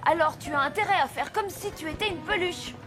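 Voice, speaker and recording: An earnest young cartoon boy voiced by a woman, high-pitched and brave. He speaks in a firm, admonishing way, with music clearly playing behind him. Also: fairly quick. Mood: bossy, cautious. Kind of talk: animated cartoon acting.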